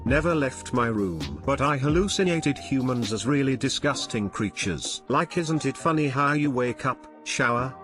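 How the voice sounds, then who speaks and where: A man reading online stories aloud as a scripted narration. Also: medium pitch. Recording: music bed behind voice. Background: background music.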